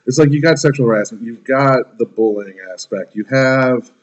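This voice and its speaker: A man's voice: medium pitch, normal speed, muffled recording, heavy background noise. A man in his thirties hosting a casual podcast, ranting emphatically with plenty of swearing. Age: 30s. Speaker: podcast host.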